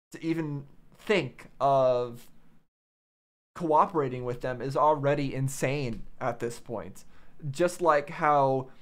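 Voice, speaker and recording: A man hosting a reaction video, giving casual, unscripted commentary and repeating phrases for emphasis. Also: a little high.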